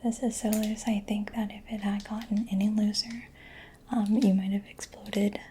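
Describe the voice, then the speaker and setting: A woman doing a soft-spoken ASMR mechanic roleplay, breathy and mostly whispered, gently murmuring as if giving personal attention, close to the microphone. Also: mid-range voice, level tone, moderate pace. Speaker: ASMR performer. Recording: close-miked with clear background noise.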